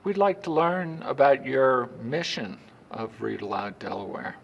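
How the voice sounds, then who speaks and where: A man's voice: medium pitch, measured pace, gravelly, lo-fi sound, slight background noise. A man hosting an interview, who welcomes his guest and asks brief, polite, formal questions. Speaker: interview host. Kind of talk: hosting a local interview segment.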